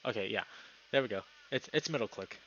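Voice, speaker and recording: A man's voice: on the low side, a little fast, fairly bright tone, muffled recording, slight background noise. A young man live streaming, moving between casual chat and reading story narration aloud.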